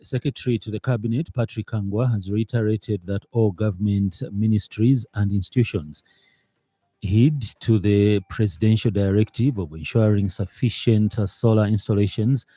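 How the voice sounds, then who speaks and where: A Zambian man reads the evening news on radio with a measured, formal delivery. His voice sits low and sounds very dark and mellow, recorded dry in a studio with no room echo. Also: steady tone, faint music behind. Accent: Zambian English. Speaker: radio newsreader. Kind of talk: news bulletin reading.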